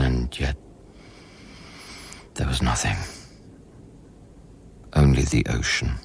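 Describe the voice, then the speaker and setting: An actor playing a haunted scientist in a radio play, narrating eerie events in a measured, brooding, literary way. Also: male voice, very deep voice, a little hiss or hum. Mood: dread, hushed awe. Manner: measured, brooding, literary, sometimes dropping to a whisper. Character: haunted male scientist recounting eerie events aboard space station. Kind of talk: dramatic first-person radio play narration. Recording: radio play with music underneath. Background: prominent music.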